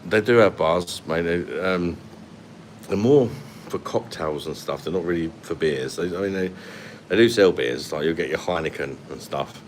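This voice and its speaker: Deep-voiced London man chatting on a phone. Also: normal speed, a little hiss or hum. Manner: blunt and sweary, laughs often. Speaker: livestream host. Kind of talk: casual live chat reading comments. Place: hotel room at night, indoors. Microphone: phone held at arm's length.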